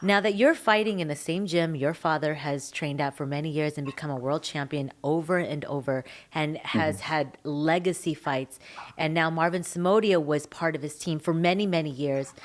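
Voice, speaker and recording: A woman with a fairly low voice co-hosts a podcast and asks long, rambling questions. She gets excited and talks quickly between tangents. She laughs often as she chats. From Philippines heritage. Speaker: podcast co-host. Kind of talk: casual interview chat.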